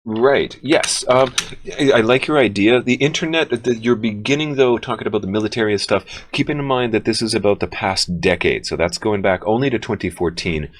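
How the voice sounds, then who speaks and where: A man with a deep bass voice, speaking as a teacher. His delivery is conversational and deliberate, with an encouraging manner as he thinks his points through aloud.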